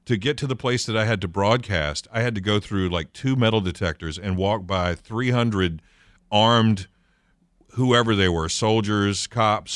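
A man co-hosting a morning radio show, in an easy, reflective conversational way. His voice sits very low and sounds smooth and resonant. The studio sound is dry and close. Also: fairly bright tone, no room sound. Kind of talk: casual radio chat.

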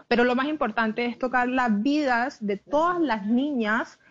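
A woman's voice: on the high side, unhurried, lo-fi sound. A woman from Colombia with a Caribbean coastal accent mixed with English, speaking as an interview guest in a passionate, emphatic way with frequent restarts. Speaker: plus-size model, guest. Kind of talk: interview conversation.

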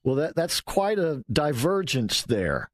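A man's voice: a little high, sing-song, slow pace. Talk show host, chatty man. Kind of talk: radio talk show interview.

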